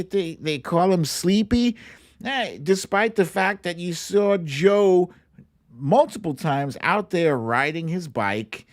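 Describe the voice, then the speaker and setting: A man's voice: a little high, dry recording, studio quality. A man hosting a casual political commentary livestream in a chatty, incredulous, amused way.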